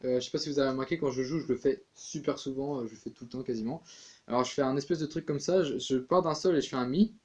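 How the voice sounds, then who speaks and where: A man's voice: medium pitch, fast, a little mellow. A man giving a casual tin whistle tutorial, chatty, with many fillers and restarts.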